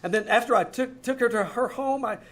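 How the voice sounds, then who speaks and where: An actor playing a nervous, lovestruck young fiance in an old-time radio mystery, stammering and earnest. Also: male voice, very high voice, a little fast, fairly bright tone. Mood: flustered, pleading. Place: live on a theater stage.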